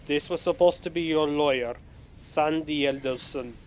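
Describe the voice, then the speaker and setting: A man's voice: fairly high pitch, normal speed, a little hiss or hum, natural voice. A man playing a sly, blunt military general with an authoritative, pointed manner in an audio drama. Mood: smug.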